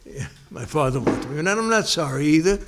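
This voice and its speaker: A man's voice: fairly high pitch, sing-song, noisy background. An older man reminiscing. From New York, United States. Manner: rambling, many restarts and pauses. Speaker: interviewee. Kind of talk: casual oral-history interview.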